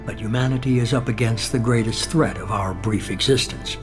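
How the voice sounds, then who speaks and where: Male actor as calculating shadowy sci-fi leader: composed, measured authority, persuasive, score playing underneath. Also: medium pitch, natural voice. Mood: cool, composed. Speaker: video game character. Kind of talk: video game voice acting. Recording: loud background music. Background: game music.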